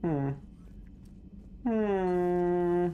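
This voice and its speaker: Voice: mid-range voice, extremely slow, narrow, tinny audio, slight background noise. A streamer chatting casually while playing a video game, rambling along to themselves and the viewers. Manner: rambling, talks to self and chat. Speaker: streamer hosting a live stream.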